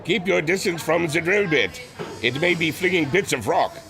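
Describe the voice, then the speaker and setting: An actor playing an earnest, proud inventor with a formal, theatrical manner in a pulp audio drama. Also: male voice, a little high, animated, normal speed, creaky, over music. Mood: proud, excited.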